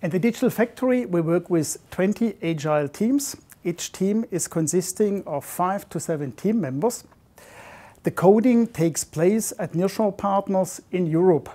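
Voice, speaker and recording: A man in his fifties answering interview questions in a measured, slightly formal way, recorded on a lapel mic in an office. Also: high-pitched, warm tone. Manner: measured, slightly formal, non-native phrasing. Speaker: software development manager. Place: modern office.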